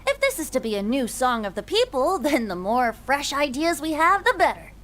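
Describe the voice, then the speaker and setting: A woman's voice: high voice, very animated, normal speed, fairly bright tone. A woman voicing a playful young male bard in a fantasy game, light and teasing with frequent laughs. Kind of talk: game voice acting.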